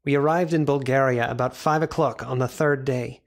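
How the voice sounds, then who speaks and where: An actor playing a sober diarist, giving measured, serious narration in an audio drama. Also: male voice, mid-range voice, fairly even intonation, natural voice. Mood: grave, measured. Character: sober male diarist narrating a gothic pursuit.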